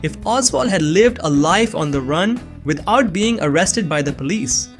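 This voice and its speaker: A man acting an earnest young fugitive in a suspense visual novel, telling his own story. His voice sits fairly high and moves with lively pitch, carefully spoken and polite, with music playing behind it. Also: moderate pace. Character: framed young man on the run, nerdy, anxious, polite. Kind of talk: first-person scripted narration of suspense fiction.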